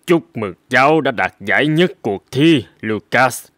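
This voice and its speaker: A man voicing a kindly older mentor in a cartoon, speaking in a measured, earnest and encouraging way. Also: medium pitch, animated, a bit bright, no room sound, studio quality. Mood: proud, tender. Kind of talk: animated cartoon dialogue.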